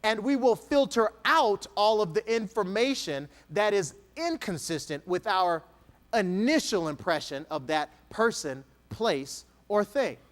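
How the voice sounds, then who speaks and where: Male keynote speaker from Texas, high and lively delivery, playful with the crowd, dramatic pauses. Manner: animated, dramatic pauses, slow emphatic dictation; playful, jokes with the audience. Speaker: motivational speaker. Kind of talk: keynote speech to an audience.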